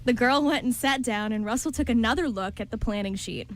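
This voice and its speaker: A woman's voice: fairly high pitch, a little fast. A woman narrating a scripted story as part of a radio play.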